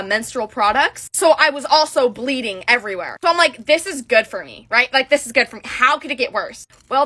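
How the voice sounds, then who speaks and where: Young female storyteller, bright and higher-pitched, casual but dramatic, emphatic delivery with acted-out dialogue. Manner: dramatic, emphatic, repeats words for effect.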